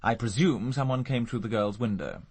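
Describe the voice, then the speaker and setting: An actor playing an urbane, evasive murder suspect in a radio drama, with an English accent and a dry, articulate, flippant manner. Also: male voice, mid-range voice, normal speed, a little mellow, natural voice. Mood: sardonic. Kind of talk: BBC radio drama acting.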